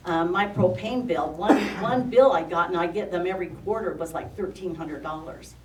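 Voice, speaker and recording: Loud-voiced older woman, distant in an echoey room. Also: on the low side, moderate pace. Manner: emphatic, frustrated public comment. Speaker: public commenter. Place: county meeting room. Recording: echoey room, distant mic, faint noise.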